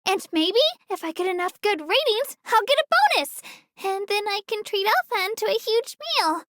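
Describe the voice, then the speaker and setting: A little female creature working as a ferry tour guide in a fantasy video game. The voice is cute, girlish and extremely high, with animated, swooping intonation. It is eager, polite and full of childlike enthusiasm, captured close and dry. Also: normal speed, dry recording, full character voice. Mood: cheerful, hopeful. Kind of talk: scripted video game character narration.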